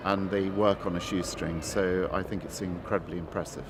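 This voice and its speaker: A very deep-voiced man, an artist, speaks thoughtfully and pauses now and then to find his words. Loud outdoor noise sits right under his voice. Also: steady tone. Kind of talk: casual interview.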